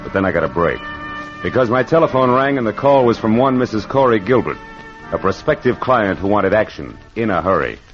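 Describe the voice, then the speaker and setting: An American actor playing a wry, hard-boiled private detective, narrating vintage radio noir with sardonic punch. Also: male voice, on the low side, animated, brisk, lightly acted. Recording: muffled vintage radio, music behind.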